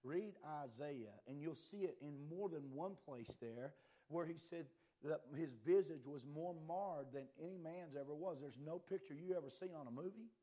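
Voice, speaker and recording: A man preaching a fiery sermon, impassioned and swinging between quiet and loud, his voice a little worn. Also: a little high, moderate pace.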